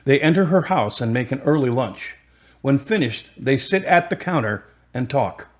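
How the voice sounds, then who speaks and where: A man's voice: medium pitch, expressive, moderate pace. A man reading scripted narration as the narrator of a radio drama.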